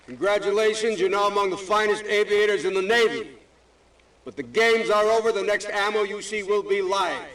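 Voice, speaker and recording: Commanding Navy officer, high-pitched male voice. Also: moderate pace, fairly bright tone, rough recording. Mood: formal, proud. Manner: clipped, authoritative. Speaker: actor in a video game cutscene. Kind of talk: military briefing dialogue.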